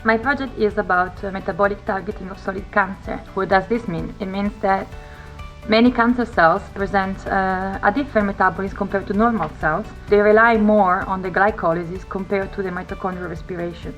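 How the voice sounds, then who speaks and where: A young woman talks about her research in a halting, careful way, pausing on fillers and restarting phrases. Her pitch sits in a middling range, and faint music plays underneath. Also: fairly even intonation. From northeast Italy. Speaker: PhD researcher. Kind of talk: video testimonial.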